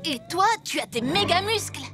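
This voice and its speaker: A woman voicing an excitable, bold six-year-old child in a cartoon, with an emphatic delivery. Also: extremely high pitch, moderate pace. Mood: playful, eager. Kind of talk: French cartoon dub. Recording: music behind the voice.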